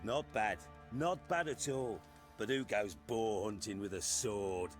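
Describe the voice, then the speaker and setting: Lowish-voiced hunting companion played by a man. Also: a little slow, soft background music, close to an everyday voice. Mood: impressed, curious. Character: approving, curious male hunting companion. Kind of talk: video game voice acting.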